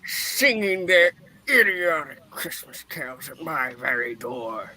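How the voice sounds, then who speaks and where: A man's voice: fairly high pitch, big pitch swings, takes it slowly, sharp tone, full character voice. A man playing a cranky, miserly old boss in a radio-play reading, blustering and snappish with bitter scorn. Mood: angry.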